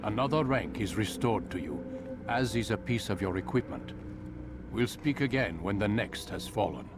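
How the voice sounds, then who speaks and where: An actor playing a commanding, calculating mentor of medieval assassins in a video game, speaking in a measured, formal way. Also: male voice, on the low side, animated, noisy background, natural voice. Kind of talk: video game drama acting. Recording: loud game music under the voice. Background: video game music.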